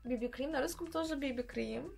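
A young woman from Uzbekistan with a Turkish-tinged accent in Russian, chatting casually while doing her makeup in her bedroom and often pausing to search for words. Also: high voice, gravelly, darkish tone, faint music behind. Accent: Turkish-influenced Russian. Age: young adult. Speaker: beauty vlogger. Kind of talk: casual makeup vlog chat. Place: small bedroom indoors.

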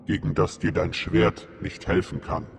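A man's voice: sits low, completely flat delivery, gravelly, background noise, full character voice. A man putting on a grave ancient sage spirit. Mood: solemn. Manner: solemn, measured, prophetic. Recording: music bed under the voice.

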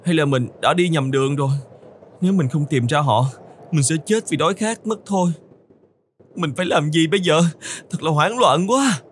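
A man voicing an excitable, self-pitying young male camel in an animated fairy tale, exclaiming and talking to himself. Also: on the high side, moderate pace, slight background noise, lightly acted. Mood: distressed. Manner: exclamatory, whining, talks to himself.

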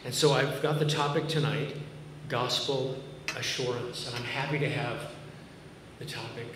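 A man's voice: mid-range voice, fairly even intonation, a little slow, a bit bright. A man in his fifties with a Massachusetts accent, a pastor preaching earnestly and conversationally with dry self-deprecating humor, speaking from the stage of a large church auditorium. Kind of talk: sermon. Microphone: podium mic, filmed by a far camera. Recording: echoey hall from far off with noise.

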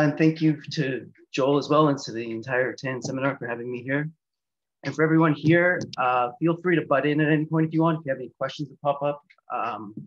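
Higher-voiced Canadian man on a dull call. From Canada, near Toronto. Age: 30s. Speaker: guest speaker, patient advocate. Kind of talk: seminar presentation with slides. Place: small room indoors, on a video call. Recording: dull lo-fi video call audio.

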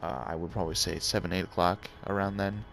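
A young man with a deep voice talking quietly and hesitantly to his viewers as a gaming channel host, with many ums and uhs. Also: fairly even intonation, quick pace, gravelly, slight background noise. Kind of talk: casual talk to viewers.

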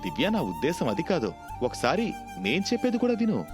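A man's voice: sits high, wildly swooping pitch, a little fast, long reverb tail, over music. A man voicing a practical, dismissive husband and breadwinner who reasons through a moral story dialogue. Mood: exasperated.

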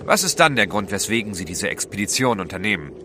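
An actor playing a composed, inquisitive gentleman adventurer, polite but direct, in a radio drama. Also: fairly low pitch, very animated, quick pace, background noise. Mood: probing, polite.

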